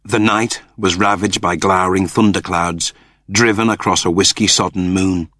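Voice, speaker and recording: Deep-voiced man narrating with dramatic intensity. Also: fairly even intonation, normal speed, pushed and bright, no echo, clean studio sound. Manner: theatrical, intense storytelling. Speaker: audiobook narrator. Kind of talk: dramatic scripted narration of a science fiction novel.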